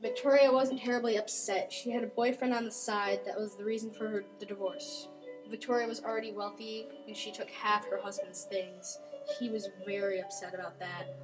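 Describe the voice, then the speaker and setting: A woman's voice: medium pitch, fairly even intonation, brisk, over music, close to an everyday voice, low-quality recording. A woman playing witness and medical examiner parts in an amateur old-time radio mystery. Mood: recounting. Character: female witness and medical examiner in a murder case.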